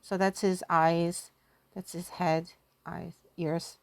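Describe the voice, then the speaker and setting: A woman's voice: fairly low pitch, flat delivery, slow, vocal fry, clear, resonant tone, dry recording. A woman giving a casual lesson through storytelling, chatty and self-deprecating, often thinking aloud as a teacher. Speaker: Korean language teacher.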